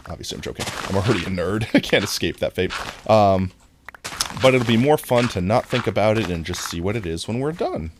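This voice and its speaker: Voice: low voice, expressive, brisk, background noise. An American game streamer from New York chatting casually in a playful, jokey, giggly manner. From New York, United States. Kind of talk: casual gaming stream chat.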